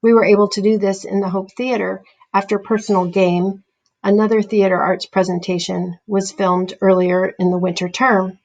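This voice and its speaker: A woman's voice: mid-range voice, little pitch movement, normal speed, a little mellow, low-quality recording. A young woman, a student playwright, giving a scripted presentation about a project. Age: young adult.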